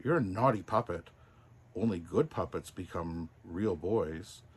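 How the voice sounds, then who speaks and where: A man's voice: sits low, lively intonation, unhurried, breathy edge, voice pushed down, full character voice. A man voicing a stern, scolding cricket from a storybook, with a buzzing manner.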